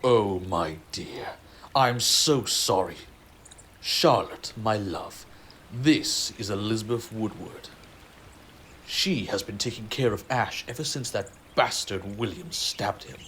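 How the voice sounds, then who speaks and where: Low-voiced, courtly royal gentleman played by a man. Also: slow, a little hiss or hum. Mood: apologetic, fond. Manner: genteel, courtly, affectionate. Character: affable, teasing royal cousin, genteel nobleman. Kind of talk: romantic audio drama acting.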